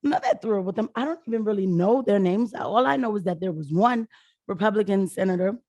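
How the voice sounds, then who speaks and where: American woman, 30s, hoarse, informal political vlogger, rambling casual delivery, very dry close sound. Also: medium pitch, fast talker, a little mellow, dry recording. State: hoarse after being sick. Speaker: political video commentator. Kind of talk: casual talk to viewers.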